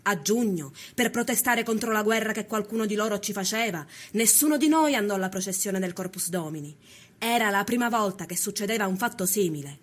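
A woman's voice: mid-range voice, fairly quick, lightly acted. A woman playing a resolute Sicilian peasant activist of the 1890s in a documentary drama, earnest and declarative, with a Sicilian accent. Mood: proud, firm.

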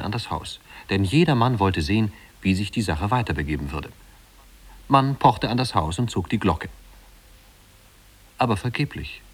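A man's voice: fairly low pitch, husky. A man narrating a story in a scripted 1950s German radio play.

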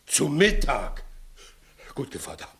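An actor playing a shifty, blustering village judge in a theatrical 1960s German radio verse comedy. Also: male voice, mid-range voice, huge pitch swings, slow pace, gravelly, fairly bright tone, exaggerated voice. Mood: sly, uneasy.

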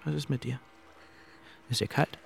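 A man's voice: medium pitch, normal speed, vocal fry. An actor playing a volatile, embittered man in a stylized radio play, with terse delivery. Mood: subdued. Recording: studio radio drama, faint music.

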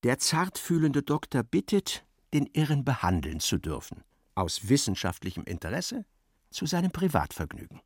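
A man narrating a literary radio play in a measured, dryly ironic way. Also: medium pitch, huge pitch swings, darkish tone, slightly rough, no room sound, clean studio sound, full character voice.

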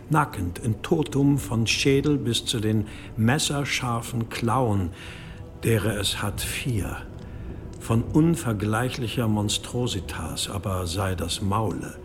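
A man playing a grave old-time chronicler in a radio play, reading in measured, ominous archaic diction. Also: medium pitch, slight background noise, lightly acted.

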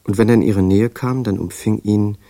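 An actor playing an intent young writer, husky and measured, in a literary audio drama. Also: male voice, on the low side, steady tone, mellow, no echo, studio quality, close to an everyday voice. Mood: reflective. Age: young adult.

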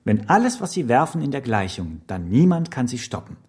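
An actor playing a pushy motivational trainer in a radio play, selling begging as a business in slogans, with a husky voice. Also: male voice, mid-range voice, sing-song, fairly quick, a little mellow. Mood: exhorting. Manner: sloganeering, salesman-like. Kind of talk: radio play sales-pitch monologue.

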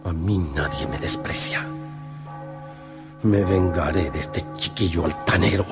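An actor playing a haughty, imperious cardinal in a radio drama, with a raspy voice and deliberate delivery. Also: male voice, low-pitched, loud music behind the voice. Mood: vengeful. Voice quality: husky, raspy. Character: haughty, menacing powerful male cardinal. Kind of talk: Spanish-language radio drama acting. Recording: long hall-like reverb.

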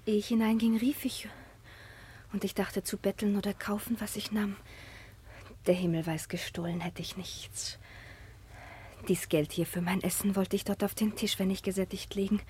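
An actress playing a frightened, polite young wanderer disguised as a boy in a classical radio drama, breathy, timid and pleading. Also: mid-range voice, darkish tone, a little hiss or hum, lightly acted.

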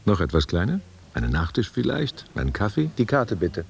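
A man's voice: on the low side, sing-song, normal speed, vocal fry. An actor playing an aging, wistful stage actor who tenderly courts a younger woman, musing and questioning in an intimate radio play. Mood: playful, coaxing. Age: older.

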